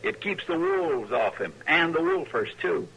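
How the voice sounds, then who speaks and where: An actor playing a loyal, stubborn western sidekick with a rural Western American drawl, in old-time radio style. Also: male voice, a little high, very animated, unhurried, vocal fry, sharp tone, breathy edge, full character voice. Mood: stern. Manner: folksy drawl, threatening when crossed. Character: loyal, rough-talking western lawman's sidekick, folksy and stubborn. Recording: noisy, clicky old radio recording.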